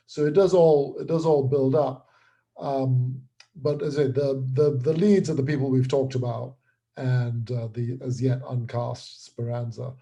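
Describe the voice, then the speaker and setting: Man, mellow relaxed tone, mid pitch, casual interview guest, explanatory, restarts his words. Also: unhurried. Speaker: writer and creator, interview guest.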